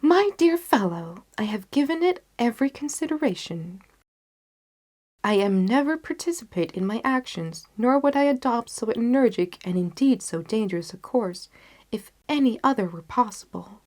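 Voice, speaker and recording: Female reader as gentleman detective, storybook character, raised pitch, confident, articulate, emphatic, determined. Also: animated, normal speed. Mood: earnest, resolute. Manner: emphatic, articulate, self-assured.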